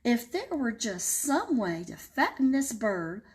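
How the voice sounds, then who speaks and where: A woman's voice: a little high, very animated, slow pace, a little mellow. A woman voicing a greedy male wolf in a children's storybook, talking in a sly, wheedling way. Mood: scheming.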